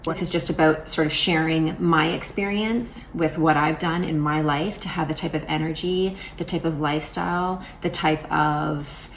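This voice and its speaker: A woman's voice: deep voice, fairly even intonation, moderate pace, few pauses, a little hiss or hum, low-quality recording. A woman in her forties talking casually to camera in a vlog, chatty and rambling, with lots of fillers and restarts. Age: 40s. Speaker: vlogger.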